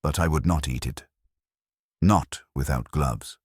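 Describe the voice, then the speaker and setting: A man voicing a precise, cautious male chef who explains his findings in a measured way. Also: extremely low pitch, a little mellow, slightly rough, no echo, studio quality, exaggerated voice.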